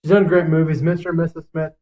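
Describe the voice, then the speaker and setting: A man's voice: a little high, level tone, fast talker, dark tone. A man co-hosting a casual podcast, talking in a blunt, foul-mouthed and emphatic way as he gives his opinions. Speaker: podcast co-host. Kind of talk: casual podcast chat, ranking list.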